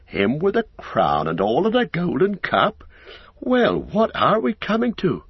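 A man's voice: on the low side, sing-song, normal speed, slightly rough, dry recording, dull audio, full character voice. A man voicing a good-hearted, easily flustered innkeeper in a storybook, rambling along in folksy, rustic phrases. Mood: amazed. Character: broad-faced, garrulous, good-hearted, flustered male innkeeper. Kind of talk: audiobook character acting.

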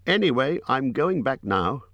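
A man voicing a small, fussy male hobbit who talks in a squeaky, hurried, apologetic way. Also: sing-song, a bit bright, dry recording. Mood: flustered.

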